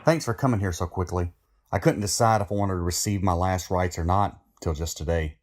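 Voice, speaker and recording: An actor playing a troubled condemned killer who confesses in a plain-spoken, easily worked-up way, in a horror audio drama. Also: male voice, deep voice, brisk. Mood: resigned, uneasy.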